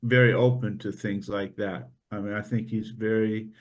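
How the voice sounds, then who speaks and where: A man's voice: lowish voice, fairly even intonation, moderate pace. A man speaking casually as a member of a community group discussion.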